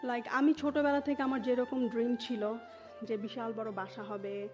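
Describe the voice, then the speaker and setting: High-voiced Dhaka woman recounting her life. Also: fairly even intonation, normal speed. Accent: Dhaka Bangladeshi. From Dhaka, Bangladesh. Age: 30s. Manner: mixes English words into Bengali. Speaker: interview guest telling her life story. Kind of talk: personal life-story telling in an interview. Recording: dull muffled sound with music underneath. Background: music.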